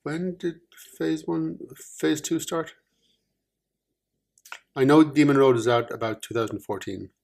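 A man co-hosting a podcast, talking casually in a dry, teasing way with many pauses and ums. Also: a little high, fairly even intonation, gravelly, darkish tone. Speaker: podcast co-host, author. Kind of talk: casual chat.

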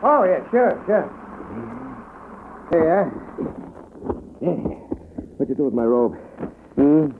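An actor playing a worried boxing manager in a 1950s radio drama, fretful and pleading. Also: male voice, on the high side, big pitch swings, takes it slowly, long pauses. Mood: anxious. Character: anxious worn boxing manager. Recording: tinny old radio broadcast with faint hiss.

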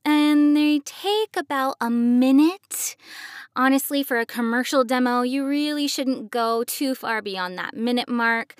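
A woman's voice: high voice, a little slow, no room sound, studio quality. A woman who co-hosts a podcast as a professional voice actor, explaining things in a conversational style.

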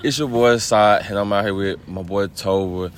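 A man's voice: on the low side, steady tone, moderate pace, a little hiss or hum. A young man hosting a podcast, laid-back and slangy, often asking "you know what I'm saying". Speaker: podcast host. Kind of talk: casual chat.

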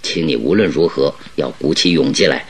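Very deep-voiced, commanding detective. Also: male voice, slight background noise. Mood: firm, instructing. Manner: deliberate, instructing, emphatic. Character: shrewd, commanding male detective, calm and exacting. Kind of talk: Mandarin radio drama acting.

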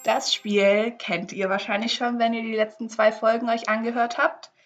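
A woman co-hosting a faith podcast in casual chat, relaxed and chatty as she explains a guessing game; her first language is English. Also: on the high side, normal speed, lo-fi sound, over music. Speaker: podcast co-host.

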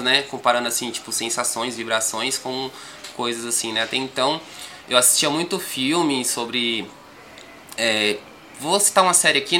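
A young man with a São Paulo accent talks as a podcast guest in a calm, rambling way, dotting his sentences with little tag questions. His tone is very bright. A faint hiss sits behind him. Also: mid-range voice. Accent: São Paulo Portuguese. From Brazil. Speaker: rapper guest. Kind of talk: casual podcast interview.

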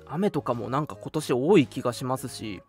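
A young man hosting a casual live radio chat, talking politely and chattily with soft hedges between announcements. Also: medium pitch, lively intonation, moderate pace, faint music behind. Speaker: radio show host.

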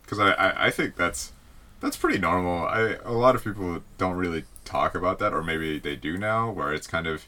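Deep, bright-toned young man. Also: lively intonation, normal speed. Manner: chatty, laid-back, slangy. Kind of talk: casual chat.

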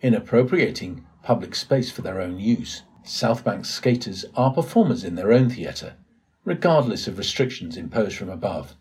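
Husky low-voiced man reading an essay aloud. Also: expressive, normal speed, a little mellow. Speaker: volunteer reader of an audio blog post. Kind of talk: scripted narration, read-aloud essay.

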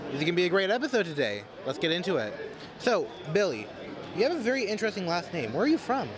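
Fast-talking upbeat male interviewer. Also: high voice, wildly swooping pitch. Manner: upbeat and chatty. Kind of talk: convention interview. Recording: crowd noise behind.

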